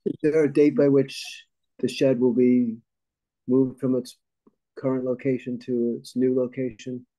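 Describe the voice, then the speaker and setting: A man's voice: mid-range voice, flat delivery, measured pace, mellow, poor audio. A middle-aged man on a planning board, joining a meeting by video call and speaking plainly and matter-of-factly. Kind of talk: meeting discussion.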